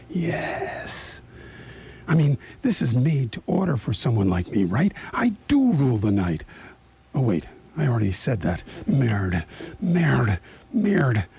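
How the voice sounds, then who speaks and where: Man acting a husky, cocky French cat burglar. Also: mid-range voice, heavily acted. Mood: boastful. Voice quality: husky, gravelly, breathy when hushed. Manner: muttering to himself, boastful, slipping into French. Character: cocky, jittery French male cat burglar psyching himself up. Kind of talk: radio theatre monologue.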